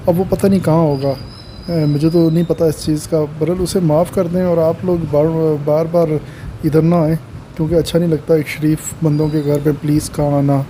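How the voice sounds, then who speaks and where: A man's voice: a little high, flat delivery, moderate pace, mellow, slight background noise, lightly acted. A man voicing an apologetic, defensive father pleading for his son in an amateur cartoon. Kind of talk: amateur cartoon voice acting in Urdu.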